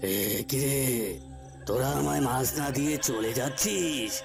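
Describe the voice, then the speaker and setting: A man voicing a menacing haunted pond spirit in a cartoon ghost story, making drawn-out threats and demands. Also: medium pitch, vocal fry, over music.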